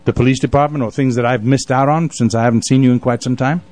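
A man's voice: mid-range voice, fairly even intonation, fast talker, darkish tone, faint music behind. A man hosting a casual radio interview, chatting in a wordy, effusive storyteller's way. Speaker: radio show host. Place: radio studio.

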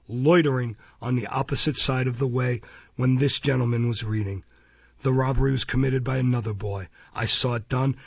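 A man's voice: mid-range voice, creaky, husky, no echo, lo-fi sound. A man voicing a poor, decent elderly bookstall keeper in an audiobook, speaking with earnest, determined insistence. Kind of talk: dramatised audiobook reading of a classic novel.

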